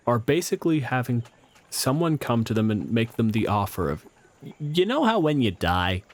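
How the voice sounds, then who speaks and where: Casual, wry man running a tabletop game. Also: medium pitch. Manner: conversational, wry, profane. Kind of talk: improvised casual game narration.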